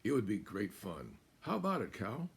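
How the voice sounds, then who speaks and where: A man voicing an excitable little male fox in a storybook, coaxing with eager emphasis. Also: fairly low pitch, moderate pace, gravelly, slight background noise, exaggerated voice. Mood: eager invitation.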